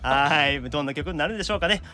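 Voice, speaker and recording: A man's voice: on the high side, racing, bright, forceful tone. A man with a Kansai accent hosting a podcast in casual, bantering talk. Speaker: podcast host.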